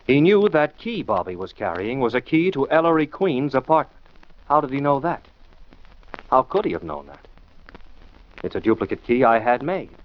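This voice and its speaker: A man's voice: mid-range voice, very animated, normal speed, pauses often, lightly acted. A man acting a shrewd, scornful radio detective who lectures emphatically in rhetorical questions, in 1940s style. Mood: probing. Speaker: detective lead and show host. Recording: muffled 1940s radio recording.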